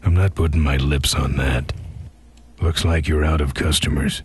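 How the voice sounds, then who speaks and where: An actor playing a gruff, tough biker hero in a video game, speaking in a terse, dry, menacing deadpan. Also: male voice, very deep voice, normal speed, exaggerated voice.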